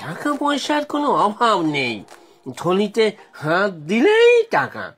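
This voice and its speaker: A woman voicing a greedy, coaxing fish-loving male ghost in a children's cartoon, with drawn-out wheedling speech. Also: mid-range voice, huge pitch swings. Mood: insistent boasting. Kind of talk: exaggerated children's cartoon acting.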